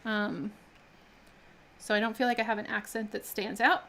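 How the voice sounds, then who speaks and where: A woman's voice: medium pitch, level tone, moderate pace, pushed and bright. A woman chatting casually while she builds miniatures on a live stream, laughing often and talking with many fillers and restarts. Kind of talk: casual chat while crafting.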